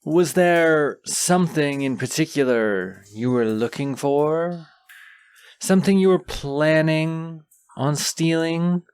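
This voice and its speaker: A man playing a wounded, haughty dragon in a fantasy audio roleplay, with theatrical, condescending, drawn-out phrasing. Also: medium pitch, clean tone, close to an everyday voice.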